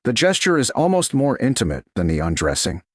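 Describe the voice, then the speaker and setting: A man narrating a scripted romance story in a measured, deliberate, dramatic way, phrase by short phrase. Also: medium pitch, huge pitch swings, no room sound, studio quality. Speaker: audiobook narrator.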